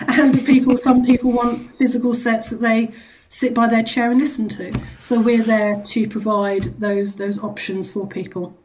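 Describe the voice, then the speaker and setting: A woman's voice: fairly high pitch, dark tone, a little hiss or hum, low-quality recording. A woman speaking as a charity executive guest in a radio interview, explaining things in a chatty, informal way.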